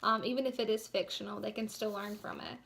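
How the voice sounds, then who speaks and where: A woman's voice: mid-range voice, fairly even intonation, fast talker, gravelly, a bit bright, a little hiss or hum. A young woman giving an informal instructional presentation as a student teacher, explaining things a little hesitantly.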